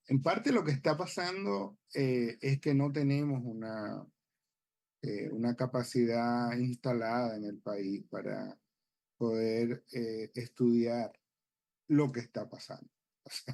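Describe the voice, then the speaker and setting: Gravelly-voiced Venezuelan man explaining. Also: mid-range voice, mellow. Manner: measured, explanatory, with frequent hesitations. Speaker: psychologist guest. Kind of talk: expert explanation on a TV talk show. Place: TV studio.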